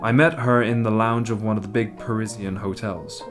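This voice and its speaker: An actor playing a polite American newspaper correspondent of 1849 in a radio play, speaking in a measured, courteous way. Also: male voice, on the low side, fairly even intonation, loud music behind the voice, lightly acted. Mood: matter-of-fact.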